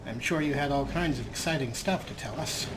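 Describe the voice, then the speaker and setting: A man's voice: mid-range voice, a little fast. Wry man over noisy game ambience. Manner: wry, sarcastic. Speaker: let's play host. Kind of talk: casual gameplay commentary.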